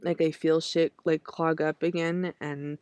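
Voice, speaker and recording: A young adult woman hosting a poetry podcast with a raspy voice, talking in a halting way with many restarts. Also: low voice, level tone, darkish tone, dry recording. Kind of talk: casual talk and poetry reading.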